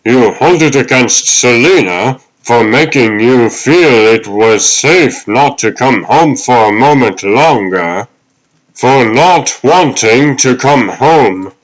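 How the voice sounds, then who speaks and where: A man voicing the ghost of an old butler, fatherly, earnest and deliberate, in a dramatic comic dub. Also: medium pitch, animated, poor audio. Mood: solemn, caring.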